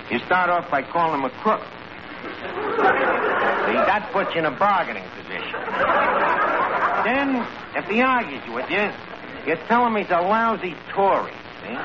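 Brash high-pitched New York tavern schemer. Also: male voice, huge pitch swings, measured pace, pauses often, a loud crowd. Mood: self-important, scheming. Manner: wisecracking, mock-pompous explanations full of malapropisms. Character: brash, scheming tavern manager who mangles big words. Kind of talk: 1940s radio comedy acting. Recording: noisy, muffled old radio recording.

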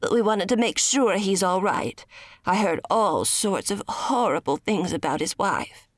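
A woman's voice: mid-range voice, lively intonation, no echo, studio quality. A woman playing a fretful, nosy older lady. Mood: worried. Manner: fretful rapid questions. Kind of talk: audio drama acting.

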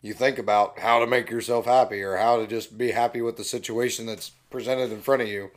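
A young man co-hosting a casual podcast, hoarse from losing his voice, easygoing and agreeable. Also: mid-range voice, fairly even intonation, brisk, a little hiss or hum. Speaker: podcast co-host. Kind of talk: casual chat.

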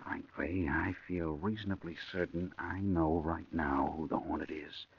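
An actor playing a sly, confident convict in a gravelly, husky voice, in the style of 1940s radio crime drama. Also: male voice, deep voice, fairly even intonation, moderate pace, exaggerated voice. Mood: sly, confiding. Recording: muffled old radio recording.

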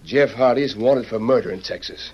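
A man playing a bossy, hot-tempered outlaw in an old radio western, talking in gruff, commanding cowboy lingo. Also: mid-range voice, normal speed, natural voice. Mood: scheming. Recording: muffled old radio recording with hiss.

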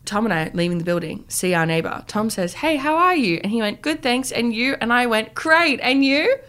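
A woman's voice: on the high side, expressive, normal speed, a bit bright. A woman in her twenties with an Australian accent, chatting casually as a podcast co-host in a jokey, self-deprecating way. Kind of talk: casual chat.

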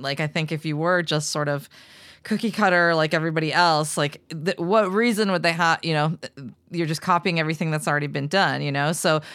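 Woman hosting a podcast, low voice, chatty, sounds dry and close up. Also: pushed and bright, no echo. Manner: enthusiastic, chatty, many fillers. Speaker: podcast host. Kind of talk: casual interview chat.

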